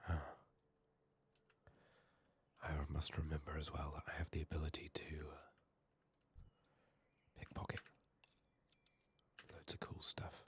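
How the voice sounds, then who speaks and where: A man giving soft-spoken, partly whispered ASMR commentary on a video game, muttering in a hushed, unhurried way over keyboard and mouse clicks. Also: rumbling low, completely flat delivery. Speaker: video game streamer, host. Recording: faint noise, keyboard typing and mouse clicks.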